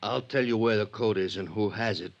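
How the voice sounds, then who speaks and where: Male actor for a shady stool pigeon, vintage radio drama; lowish pitch, nervous, hard-bargaining, curt and insistent. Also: fairly quick, muffled recording, lightly acted. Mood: wary bargaining. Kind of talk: old-time radio crime drama acting.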